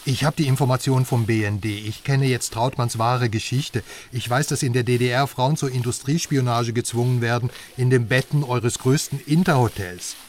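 An actor in a radio drama playing a brash West German investigative journalist, chatty, sardonic and emphatic. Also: male voice, medium pitch, close to an everyday voice. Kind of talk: naturalistic radio drama dialogue. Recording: slightly distant voice, faint outdoor noise.

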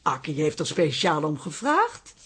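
An older woman in a radio drama, very husky and low for a woman. She chats coyly and unhurriedly, quick to take offence, her pitch swinging widely. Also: wildly swooping pitch, exaggerated voice. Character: chatty, daring old flame, elderly, easily indignant. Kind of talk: Dutch radio drama acting.

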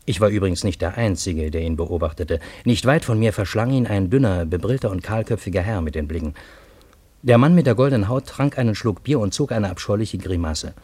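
An actor playing a wry, reflective man who narrates his own story in a radio play, with measured, literary phrasing. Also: on the low side, animated, a little mellow, husky, no echo. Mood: observant storytelling. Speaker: first-person narrator.

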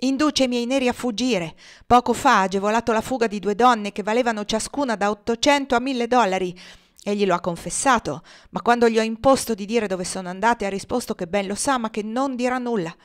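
A woman reading the part of a cruel, indignant male slave owner in an audiobook, with forceful, scornful declamation. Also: a little high, a little fast, close to an everyday voice.

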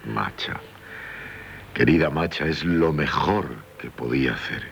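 An actor playing a despairing, self-loathing but tender husband in a radio drama, with a Spanish accent from Spain and halting delivery. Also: male voice, rumbling low, highs cut off. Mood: anguished. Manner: halting, repeats words, dramatic pauses. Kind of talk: 1970s radio drama acting. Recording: noisy 1970s radio broadcast recording.

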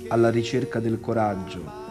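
A man reading scripted stage directions as a narrator, quiet and restrained. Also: fairly low pitch, steady tone, normal speed, over music.

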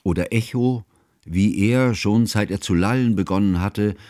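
A man playing a learned, devoted friend who narrates a radio drama in formal, ornate, measured literary style. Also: on the low side, darkish tone, no room sound, clean studio sound, close to an everyday voice. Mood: fond, formal.

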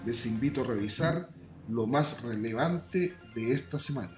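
A man's voice: mid-range voice, animated, normal speed. A man with a Chilean accent presenting a scripted radio news bulletin. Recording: roomy echo, music bed behind. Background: music.

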